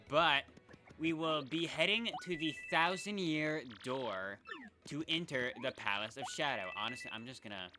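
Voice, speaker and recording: A man casually commentating his gameplay in a chatty, rambling way, often correcting himself mid-sentence. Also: mid-range voice. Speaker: let's play gamer. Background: game music.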